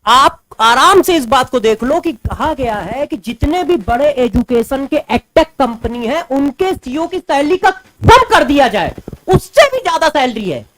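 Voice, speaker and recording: छात्रों से बात करती एक शिक्षिका जोश के साथ अपनी बात रखती है और ज़ोर देने के लिए आंकड़े दोहराती है।. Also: female voice, high-pitched, big pitch swings, a little slow, rough recording. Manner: emphatic, repeats figures for stress. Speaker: educator speaking to students. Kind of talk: impassioned commentary to camera.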